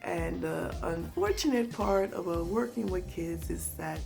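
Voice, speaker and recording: Hoarse, raspy-voiced woman vlogger. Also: on the low side, measured pace, music in the background. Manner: chatty, rambling, with frequent restarts and asides. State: hoarse from a cold. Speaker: home organizing vlogger. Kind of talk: casual haul vlog talking to viewers.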